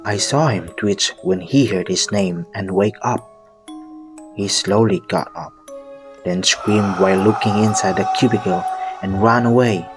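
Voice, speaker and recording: A man acting as a traumatized survivor tells a grim first-person horror tale for an audio play. The voice is fairly low and the telling very slow, with music clearly behind it. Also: close to an everyday voice. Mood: grim recounting. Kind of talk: scripted audio drama horror narration.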